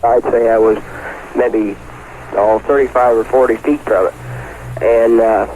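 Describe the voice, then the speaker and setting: A man's voice: mid-range voice, fairly even intonation, a little slow, a little mellow, poor audio. A man casually recounting what he witnessed, hesitant and folksy. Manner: plain-spoken, hesitant, folksy grammar. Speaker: eyewitness. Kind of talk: casual spoken recollection. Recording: radio news interview, faint noise.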